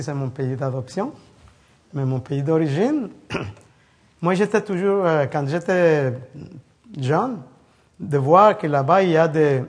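A man from El Salvador gives a loose, conversational sermon, often stumbling and restarting as he hunts for words. His voice sits a little higher than most men's. He mixes scripture with stories from his childhood. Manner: halting, many restarts, storytelling. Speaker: preacher. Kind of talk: informal sermon with congregation.

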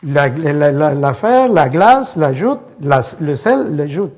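A man from El Salvador gives a loose, conversational sermon, often stumbling and restarting as he hunts for words. His voice sits a little higher than most men's. He mixes scripture with stories from his childhood. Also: very animated, darkish tone. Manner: halting, many restarts, storytelling. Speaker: preacher. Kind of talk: informal sermon with congregation.

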